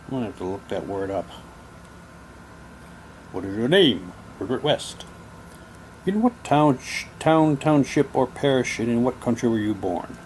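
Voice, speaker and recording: A man's voice: medium pitch, very animated, a little slow, pauses often, a little mellow, slight background noise, low-quality recording. A man casually reading printed material aloud, switching between theatrical delivery and muttered asides.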